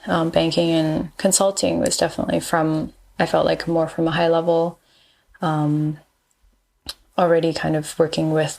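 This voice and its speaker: A woman in her thirties from Malaysia speaks as a podcast guest, her voice sitting a little low with a gravelly, fry-tinged edge. She talks things through thoughtfully, pausing often to find her words. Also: darkish tone. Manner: thoughtful, pauses with frequent ums. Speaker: guest, designer and engineer. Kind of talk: casual interview chat.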